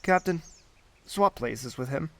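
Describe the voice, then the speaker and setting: A man's voice: fairly high pitch, animated, takes it slowly, no echo. A man playing an imperious, disapproving prince in an audio drama, curt and commanding.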